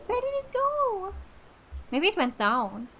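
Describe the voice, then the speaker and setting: A woman's voice: extremely high pitch, huge pitch swings, drawn-out words, pushed and bright, slight background noise. A woman from Finland giving chatty, enthusiastic casual let's play commentary as a gaming host. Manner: chatty, enthusiastic about the game.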